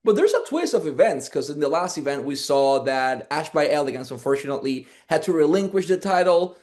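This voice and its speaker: A man's voice: fairly high pitch, expressive, brisk, darkish tone. A man hosting a wrestling interview, eager and talkative as he asks his questions. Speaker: interview host. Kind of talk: energetic sports interview.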